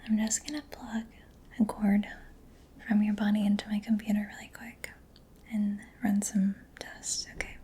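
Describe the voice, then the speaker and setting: A woman doing a soft-spoken ASMR roleplay as a mechanic, in a breathy whisper with a gentle, hesitant, reassuring manner. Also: medium pitch, little pitch movement, moderate pace, a little hiss or hum.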